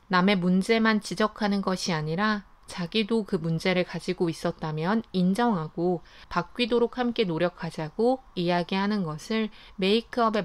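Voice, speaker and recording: A woman hosting a book review, reading her summary and thoughts in a calm, even, scripted way. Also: medium pitch.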